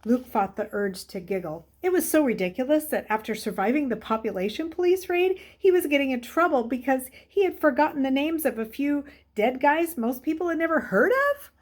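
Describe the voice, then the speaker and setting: A woman's voice: high-pitched, animated, brisk, hardly any pauses. A woman reading a children's novel aloud as a storyteller. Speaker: story reader.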